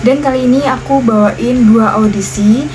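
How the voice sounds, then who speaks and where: A young woman from Indonesia chats with her viewers in a casual tutorial tone. Her voice sits a little high. Background music plays clearly under her talk. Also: steady tone, moderate pace, poor audio. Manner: chatty, addresses viewers directly. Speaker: YouTuber giving audition tips.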